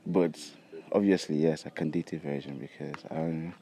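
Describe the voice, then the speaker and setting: Very deep, gravelly young Nigerian man. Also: steady tone, moderate pace, mellow. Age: young adult. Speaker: interviewee. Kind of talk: casual street interview answers. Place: outdoors by campus buildings. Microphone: handheld device held by interviewer. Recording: faint outdoor background noise.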